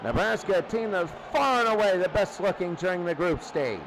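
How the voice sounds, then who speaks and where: A high-voiced man does live play-by-play for a football game with an excitable delivery. He follows each down over a clearly noisy recording. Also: a little fast. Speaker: play-by-play announcer. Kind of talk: live American football commentary.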